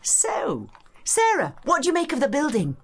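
A woman's voice: high voice, normal speed. A woman playing a knowledgeable, encouraging tour guide in a scripted educational audio drama, with a British accent and a teacherly manner. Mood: informative, encouraging. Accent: British English. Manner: expressive, clear explanatory delivery, teacherly. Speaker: guide and narrator.

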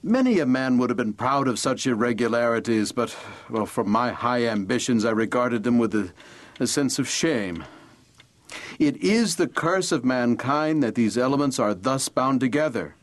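A man plays a brooding Victorian doctor in an audio drama. His voice is medium-pitched, and he speaks in eloquent, formal phrases with earnest conviction and a burden of guilt. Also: lively intonation, moderate pace, close to an everyday voice. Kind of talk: audio drama, journal narration and dialogue.

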